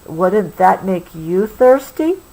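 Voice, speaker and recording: A woman's voice: mid-range voice, big pitch swings, slow, mellow, heavily acted, poor audio. A woman voicing a small boy kangaroo in a bedtime storybook, hesitant and feigning casualness. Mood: cheeky. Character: small boy kangaroo, thirsty, fibbing, afraid of shadows.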